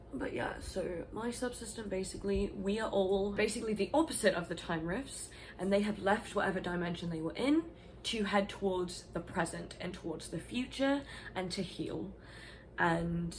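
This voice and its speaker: A woman's voice: lowish voice, a little hiss or hum, rough recording. A woman vlogger talking casually and at length, rambling a little and swearing now and then. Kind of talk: casual explanatory vlog.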